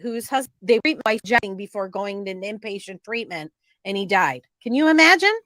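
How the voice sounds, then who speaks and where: A woman's voice: medium pitch, lively intonation, a little fast, fairly bright tone, no echo. A woman hosting an informal true-crime show, talking in an earnest, emphatic and cautionary way.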